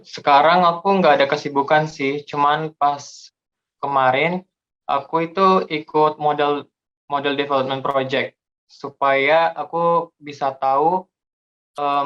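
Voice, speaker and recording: Young man with a smooth, slightly high voice who rambles casually through his introduction. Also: a bit bright, highs cut off. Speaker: model applicant. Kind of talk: online interview self-introduction.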